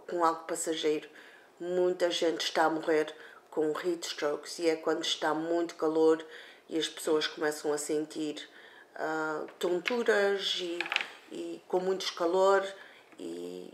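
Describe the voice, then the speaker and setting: A woman in her fifties co-hosting a community TV show, talking slowly and haltingly with many fillers at a table in a living room. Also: lowish voice. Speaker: community TV co-host. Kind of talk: casual talk to camera. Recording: echoey room.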